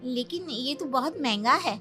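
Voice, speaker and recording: A woman's voice: high voice, expressive, unhurried, bright, forceful tone, faint music behind, full character voice. A woman playing an anxious, accommodating middle-aged mother of a bride, placating in manner, in a cartoon story. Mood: polite, uneasy.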